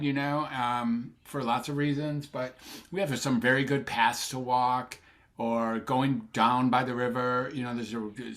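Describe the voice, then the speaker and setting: Older male psychiatrist guest, casual rambling chat, warm enthusiasm, lots of ums. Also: mid-range voice. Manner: rambling, enthusiastic, many fillers and restarts. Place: Sacramento, USA.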